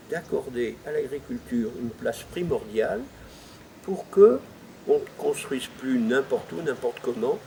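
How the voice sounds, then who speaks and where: A man with a dark, mellow, mid-pitched voice explains things as an interviewed local official. He is methodical and leans on his key points, with faint ambient noise behind him. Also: rough recording. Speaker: former president of an intercommunal council, interviewed.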